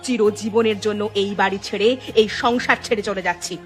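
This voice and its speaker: A woman's voice: medium pitch, fairly even intonation, brisk, soft background music, natural voice. A woman playing an aggrieved village housewife in a cartoon drama, scolding emphatically and repeating words for stress. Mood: angry, hurt. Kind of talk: dramatic Bengali cartoon dialogue dubbing.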